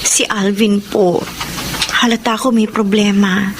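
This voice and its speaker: An actress playing a caring, worried young Filipina in a radio drama, speaking earnestly and with emotion. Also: female voice, mid-range voice, flat delivery, unhurried, natural voice. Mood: worried, respectful. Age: young adult. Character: confused, caring young woman worried for her boyfriend. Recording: background ambience noise.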